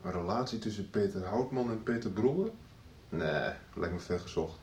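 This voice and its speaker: A man's voice: lowish voice, animated, moderate pace, a little mellow. A man playing a laid-back, suspicious character in a radio soap, casual and wry. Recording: roomy radio recording, faint noise.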